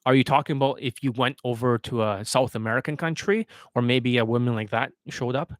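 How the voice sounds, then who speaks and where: A man's voice: medium pitch, a little fast, no room sound, studio quality. A man speaking as a dating coach on a livestream, answering questions in a blunt, instructional way with frequent rhetorical check-ins.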